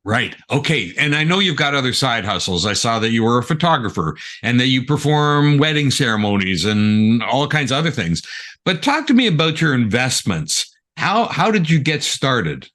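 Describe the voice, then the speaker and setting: A man's voice: medium pitch, sing-song, normal speed, a bit bright. A man hosting a podcast, asking a guest conversational questions and prompting him to go on. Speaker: podcast host. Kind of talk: interview questions.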